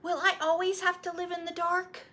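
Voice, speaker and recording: A woman's voice: very high voice, level tone, moderate pace, pushed and bright, a little hiss or hum, voice pushed up. A woman voicing an earnest, eager young boy who asks pleading, insistent questions in a storybook read-aloud.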